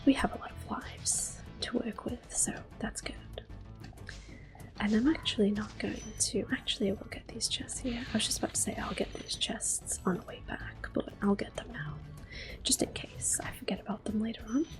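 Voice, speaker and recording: A woman in soft, unhurried whispers, commenting on her gameplay as an ASMR gamer. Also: medium pitch, fairly even intonation, low-quality recording. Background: soft game music and controller clicks.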